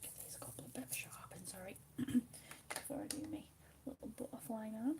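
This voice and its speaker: A woman chatting casually with her viewers while she colours, speaking softly, often half in a whisper and a little hesitant. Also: a little high, fairly quick, vocal fry. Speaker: livestream host.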